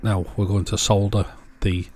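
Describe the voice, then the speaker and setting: A man's voice: fairly low pitch, little pitch movement, normal speed, a little mellow, faint music behind. A man presenting a casual how-to in a plain, understated, step-by-step way. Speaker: presenter of an electronics repair channel.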